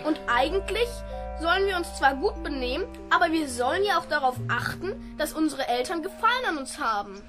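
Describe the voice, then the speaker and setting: High-voiced, earnest little boy, acted by a woman. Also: big pitch swings, a little fast, over music. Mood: solemn, troubled. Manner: precise, polite, formal diction. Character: earnest, well-mannered, dutiful seven-year-old boy. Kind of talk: radio play acting.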